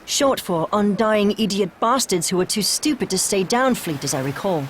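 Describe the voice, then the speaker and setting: Actress as composed female adviser, medium pitch, precise, dry, video game, faint background noise. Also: lively intonation, moderate pace, lightly acted.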